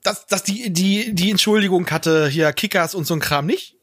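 A man's voice: sits high, moderate pace, fairly bright tone, no room sound, clean studio sound. A man chatting casually in a podcast round in a joking manner. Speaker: podcast speaker. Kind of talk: casual group chat.

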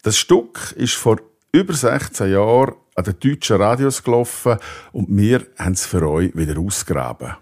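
A man with a fairly low voice hosts a podcast. He reads prepared narration, introducing pieces and guests to his listeners. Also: big pitch swings, moderate pace, a little mellow. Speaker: podcast host.

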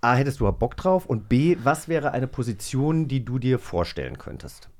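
A man's voice: medium pitch, sing-song, moderate pace. A man speaking informally and enthusiastically as a co-host in a casual podcast chat.

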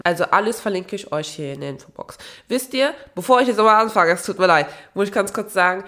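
A young woman hosting her own podcast, chatting casually with a nasal, stuffy-nosed voice, apologetic and rambling with many fillers. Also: lowish voice. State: stuffy nose from a cold. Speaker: podcast host. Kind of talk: casual solo podcast chat.

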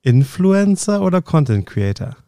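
A man's voice: on the high side, sing-song, unhurried, warm tone, no echo. Easygoing male podcast co-host, dry sound. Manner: easygoing, laughs along. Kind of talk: casual podcast conversation.

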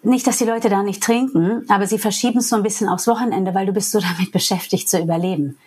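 American woman from new york, english native, speaking german, a bit husky, open and thoughtful, laughs a lot. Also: mid-range voice, fairly even intonation, quick pace, darkish tone. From New York, USA. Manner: frank, reflective, laughs easily. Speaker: interview guest. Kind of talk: candid personal interview.

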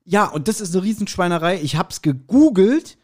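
A man chatting casually as a podcast host, telling anecdotes in an animated way and acting out quotes. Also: high voice, moderate pace. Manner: animated retelling with acted-out quotes. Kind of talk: casual chat, anecdotal storytelling.

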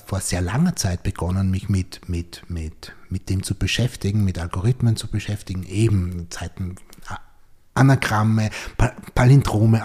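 A man's voice: mid-range voice, darkish tone, breathy edge. An Austrian man in a reflective podcast conversation, feeling his way through thoughts and repeating words as he goes. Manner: hesitant, repeats words while formulating thoughts. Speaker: podcast host and writer.

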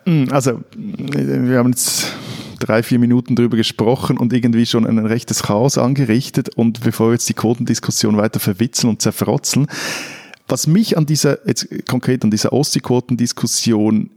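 A Swiss man co-hosts a casual discussion with a wry, deliberate manner. His voice has a gravelly edge. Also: mid-range voice, steady tone, a little mellow. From Switzerland. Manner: wry, joking, then earnest and deliberate. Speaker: podcast co-host.

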